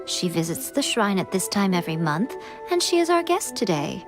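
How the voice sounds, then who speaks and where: A woman playing a sly, teasing, poised shrine priestess in an anime video game, speaking in a coy, deliberate way. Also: medium pitch, very animated, music in the background, close to an everyday voice. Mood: sly, composed.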